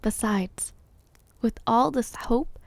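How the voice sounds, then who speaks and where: A woman playing a wistful, gently teasing royal mother in an intimate audio roleplay, soft-spoken and measured, often dropping toward a whisper. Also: on the high side, gravelly, no echo, exaggerated voice. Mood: grieving, hopeful.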